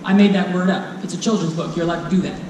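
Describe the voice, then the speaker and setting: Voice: mid-range voice, steady tone, quick pace. A storyteller reading rhyming children's fantasy to a live audience in a dramatic, playful way, speaking directly to listeners. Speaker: storyteller presenting a children's book. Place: convention stage before a live audience. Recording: echoey live hall, distant mic, faint noise.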